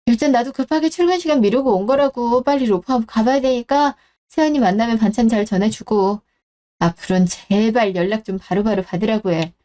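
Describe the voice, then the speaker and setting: A woman's voice: on the high side, brisk, mellow, lightly acted. A woman playing a blunt, exasperated middle-aged daughter who nags and complains in a radio drama. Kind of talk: Korean radio-drama story acting.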